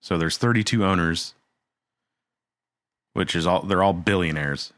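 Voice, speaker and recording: A man with a very low voice co-hosts a laid-back podcast, tossing in jokey asides. His tone is bright despite the depth, and the recording is dry and close. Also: measured pace, no echo. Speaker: podcast co-host. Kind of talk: casual chat.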